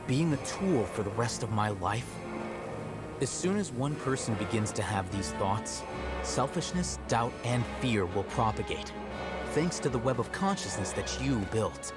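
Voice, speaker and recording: A man voicing a cool, analytical, self-assured scholar in an anime video game, measured and articulate. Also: medium pitch, big pitch swings. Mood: calm, lecturing. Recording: loud game music underneath.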